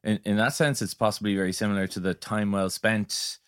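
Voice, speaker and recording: A man's voice: fairly low pitch, steady tone, fairly quick, smooth. A man speaking as a podcast guest in a casual tech discussion, thoughtful and hesitant in his phrasing. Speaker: podcast guest, product design director.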